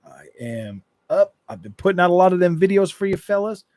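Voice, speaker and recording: A man in a casual gaming live stream, talking in a fairly high voice and wandering through his thoughts with lots of restarts. The sound is dry and close, with no room around it. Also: sing-song, brisk, a little mellow, dry recording. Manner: rambling, repeats and restarts phrases, laid-back.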